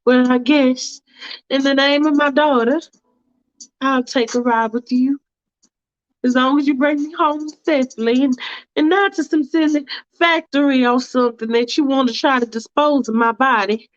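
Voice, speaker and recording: A woman puts on a prim, fussy lady who whines in a high, babyish sing-song. She sounds squeaky and put out, her pitch riding well above a normal speaking voice. The recording is a little muffled and lo-fi. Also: fairly even intonation, normal speed, close to an everyday voice. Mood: flustered. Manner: prissy, whiny, talks in a high sing-song.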